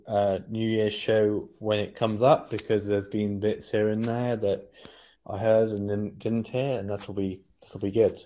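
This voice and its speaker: A man co-hosting a podcast in rambling casual chat, halting and full of restarts. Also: lowish voice, dark tone. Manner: halting, many restarts and fillers. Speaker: podcast co-host. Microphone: headset.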